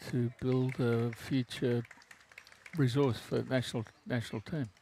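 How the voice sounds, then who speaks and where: Co-commentator: gravelly-voiced man who talks in halting, restarting phrases. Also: mid-range voice, little pitch movement, mellow, slight background noise. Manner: halting, with many restarts and uh fillers. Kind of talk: relaxed commentary chat.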